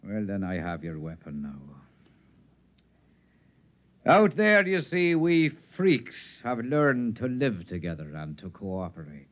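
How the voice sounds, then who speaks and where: An actor playing an eloquent, gently defiant mutant outcast in a 1950s radio drama, poetic and theatrical in delivery. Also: male voice, lowish voice, sing-song, a little slow. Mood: calm, knowing. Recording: muffled vintage radio recording.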